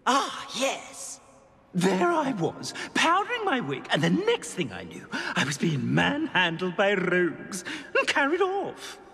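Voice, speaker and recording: A man's voice: high-pitched, wildly swooping pitch, takes it slowly, gravelly, bright, forceful tone, in a large hall, exaggerated voice. A man acting an indignant, haughty talking portrait of a wigged wizard in a video game, blustering theatrically.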